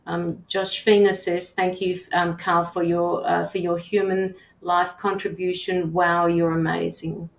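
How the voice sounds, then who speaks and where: A woman's voice: fairly low pitch, flat delivery, measured pace, vocal fry, hardly any pauses, a little hiss or hum. Echoey woman hosting casually. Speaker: host. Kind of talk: casual live stream hosting. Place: echoey room, online video call. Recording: echoey room sound.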